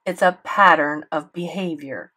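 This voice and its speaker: A woman reading aloud, voicing a cold, stern male school official who speaks slowly and dismissively. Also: low voice, bright, forceful tone, heavily acted.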